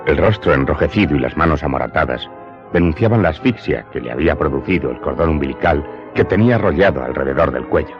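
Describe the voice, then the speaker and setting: A man's voice: rumbling low, lively intonation, quick pace, lo-fi sound, music in the background. An actor playing a Corsican steward and former smuggler who solemnly confesses his past in an audio drama. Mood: grave. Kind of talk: dramatic first-person storytelling in an audio drama.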